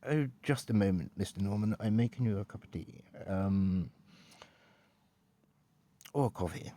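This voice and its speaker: A man voicing a formal, courteous British butler with a posh English accent, polite and gently devoted. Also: fairly low pitch, measured pace, dry recording, close to an everyday voice.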